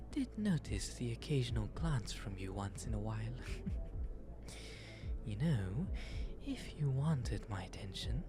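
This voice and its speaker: A man playing a genteel, shy, flirtatious owl demon nobleman in an ASMR roleplay, with soft, breathy, formal and wryly self-deprecating speech. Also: medium pitch. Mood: teasing. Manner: refined formal diction, polite, wry, self-deprecating. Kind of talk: ASMR roleplay, intimate audio drama. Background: music throughout.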